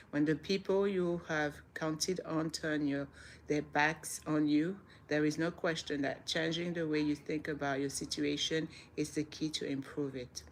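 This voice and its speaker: A woman's voice: sits low, a little hiss or hum. A middle-aged woman from Senegal with a French accent, hosting a livestream, reading reflections and chatting earnestly with viewers. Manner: earnest, repeats phrases for emphasis, laughs softly. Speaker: livestream host. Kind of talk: casual livestream talk, reading daily reflections.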